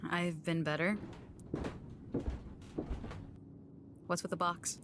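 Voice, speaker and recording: A woman playing a grieving, sharp-tongued young female agent in an audio drama, blunt and defiant in manner. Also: lowish voice, level tone, a little fast, close to an everyday voice. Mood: weary, reproachful. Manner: blunt, sarcastic, swearing. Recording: noisy ambience behind the voice.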